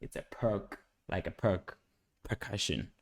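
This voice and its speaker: Male, young adult, lowish voice. Music producer teaching casually at the computer, playful and slangy, clear music underneath. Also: measured pace. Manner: playful, rambling, slangy, with excited interjections. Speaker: music producer giving a tutorial. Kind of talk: casual, unscripted beat-making tutorial. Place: indoor home studio at a computer. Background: loud instrumental beat and instrument sounds playing.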